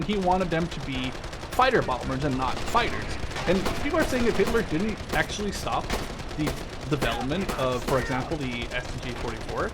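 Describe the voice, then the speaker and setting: Young man rambling over loud game gunfire. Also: medium pitch. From Netherlands. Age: 20s. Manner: rambling, hesitant, often breaking off mid-sentence. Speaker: gaming video creator. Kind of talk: casual live gameplay commentary. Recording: loud gunfire and artillery noise. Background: video game gunfire, artillery and machine guns.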